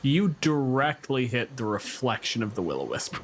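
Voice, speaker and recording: A man's voice: mid-range voice, big pitch swings, moderate pace, slight background noise. A man acting as game master, casually narrating a tabletop game in a descriptive, unscripted way. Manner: descriptive, casual, swears freely.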